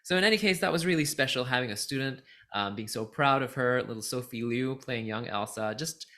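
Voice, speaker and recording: A man from Singapore giving a casual spoken review as a vlogger, thinking aloud in a halting, reflective way and stressing key words. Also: mid-range voice, pushed and bright. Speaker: vlogger reviewing musicals, voice actor and teacher.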